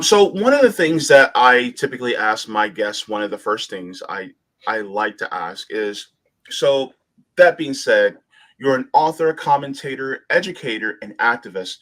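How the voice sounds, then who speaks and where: A man's voice: medium pitch, fairly bright tone, poor audio. A man hosting an independent podcast, introducing his guest and asking questions in a friendly, eager way. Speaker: podcast host. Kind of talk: casual interview.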